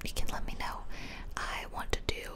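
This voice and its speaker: A woman whispering casual, chatty ASMR commentary over a video game, close to the microphone. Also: normal speed. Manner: casual, chatty asides to viewers. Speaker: gaming video host. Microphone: close-up microphone, ear-to-ear whispering.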